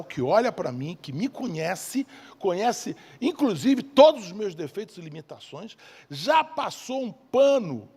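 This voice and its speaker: A male preacher with a Rio Brazilian accent delivers a sermon in a husky, high-set voice. His delivery swings widely up and down as he hammers phrases and throws out rhetorical questions. The sound rings with the long reverb of a hall. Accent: Brazilian, from Rio de Janeiro. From Rio de Janeiro, Brazil. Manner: emphatic, repeats key phrases, asks rhetorical questions. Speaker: preacher, bishop. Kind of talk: evangelical sermon. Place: church service in a large hall.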